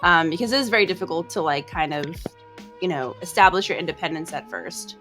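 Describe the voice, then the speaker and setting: A woman's voice: on the low side, lively intonation, brisk, fairly bright tone, soft background music. A woman guest on a podcast, chatty and earnest, sharing advice with many ums and likes. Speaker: streamer guest. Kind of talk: casual advice podcast chat.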